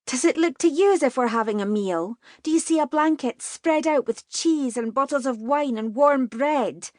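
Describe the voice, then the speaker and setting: A fiery, proud young lass, as a woman narrator puts her on, firing back in a high, raised voice with a lilt. Her retorts are sharp and indignant. The sound is dry and close. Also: moderate pace, no room sound, close to an everyday voice.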